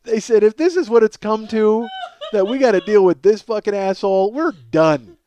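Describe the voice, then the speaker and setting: Rambling, profane male comic storyteller, fairly high-voiced. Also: moderate pace, dark tone, a little hiss or hum. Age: 40s. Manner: rambling, self-deprecating, acts out both sides of conversations. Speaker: podcast host. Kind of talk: comedic storytelling monologue.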